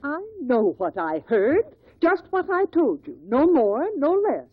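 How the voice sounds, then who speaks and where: A woman's voice: high-pitched, sing-song, measured pace, breathy edge, heavily acted. A woman playing a tart, defiant older American mother-in-law giving testimony in a 1950s radio drama, blunt and snappish. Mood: defiant, bitter. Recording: dull lo-fi 1950s radio recording.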